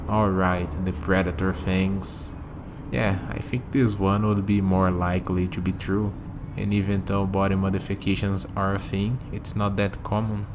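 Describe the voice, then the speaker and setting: A man playing a curious, skeptical young human in an ASMR vampire roleplay, talking hesitantly to the listener and pausing for replies, with steady noise behind him. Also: low-pitched, lightly acted. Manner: hesitant, conversational, leaves pauses for unheard replies.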